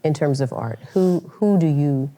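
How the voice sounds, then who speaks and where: Deep, mellow woman interviewer. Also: animated, a little slow. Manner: affirming, encouraging, quotes scripture.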